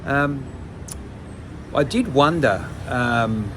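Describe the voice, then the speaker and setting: Older guy chatting to his vlog cam outside, lots of ums, mid-pitched voice, traffic noise. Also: animated, very slow. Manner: hesitant, many ums and restarts. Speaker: travel vlogger. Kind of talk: casual talk to camera.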